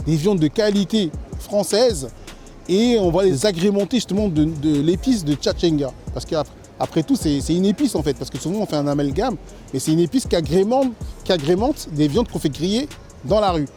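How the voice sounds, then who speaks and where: A man from France giving a personal testimony in an interview as a food truck chef, speaking haltingly and repeating words as he searches for phrasing. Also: sits high, lively intonation, warm tone, soft background music.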